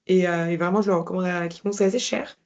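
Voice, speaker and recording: A woman's voice: lowish voice, steady tone, fast talker, darkish tone, highs cut off. A woman speaking conversationally as an interview guest, candid and reflective, often searching for words. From Paris, France. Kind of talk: conversational personal testimony.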